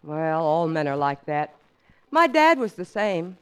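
A woman's voice: sits low, sing-song, measured pace, fairly bright tone, slight background noise, full character voice, rough recording. A woman playing a chatty young wife who talks easily and sings a lilting novelty song, in 1940s radio style. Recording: old radio recording with music.

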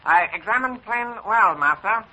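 A man's voice: high voice, expressive, moderate pace, bright, forceful tone, breathy edge, full character voice. An actor playing a servile male henchman who speaks clipped, broken English in a 1940s radio drama. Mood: urgent servile. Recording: dull lo-fi old radio broadcast.

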